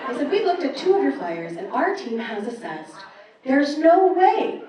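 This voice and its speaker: A roomy, echoing live recording of a woman comedian with a fairly high voice. She tells stories in a brash, casual way and acts out the conversations as she goes, speaking from a club stage. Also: normal speed, far from the mic, crowd noise. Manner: animated storytelling, acting out quoted exchanges. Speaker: stand-up comedian. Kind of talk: stand-up comedy set.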